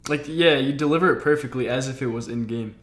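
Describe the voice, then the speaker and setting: A man's voice: medium pitch, fast talker. A man hosting a casual chat on a game stream. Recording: roomy, echoey home stream audio.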